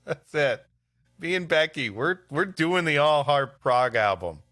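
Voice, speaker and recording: A man's voice: fairly high pitch, animated, a little slow, a bit bright, no room sound, studio quality, faint music behind. A man co-hosting a comedy show, bantering and narrating stories. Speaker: podcast co-host and story reader. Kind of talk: comic banter and storybook narration.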